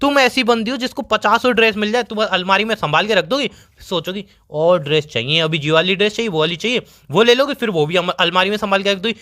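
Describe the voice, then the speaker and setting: A man's voice: high voice, quick pace, sharp tone. A man, playing an exasperated, teasing boyfriend who rambles and complains in an acted phone-call comedy. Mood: teasing grumbling. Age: 20s. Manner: rambling, complaining, dramatic storytelling. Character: exasperated, teasing young boyfriend bickering with his girlfriend.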